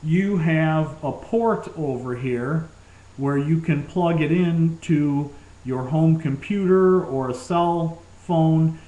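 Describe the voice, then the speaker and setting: A man presents gear in an informal demonstration, speaking slowly in a slightly high-pitched voice. He sounds keen to recommend what he shows. The recording has a clear roomy echo. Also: a little mellow.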